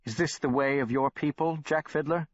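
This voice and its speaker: A man's voice: on the high side, fairly even intonation, moderate pace, dry recording, lo-fi sound, voice pushed up, close to an everyday voice. A man doing an impatient, curt doctor. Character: impatient, imperious male scientist doctor.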